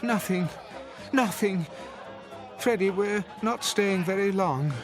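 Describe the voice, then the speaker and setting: An actor in a radio drama playing a bewildered older husband who pleads with desperate earnestness, with music behind him. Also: male voice, sits high, slow pace. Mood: anxious. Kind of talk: audio drama acting.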